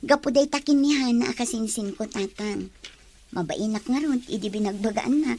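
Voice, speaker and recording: A woman's voice: fairly high pitch, normal speed, a little mellow. A woman with a Filipino accent acting a sincere female college student in a radio drama.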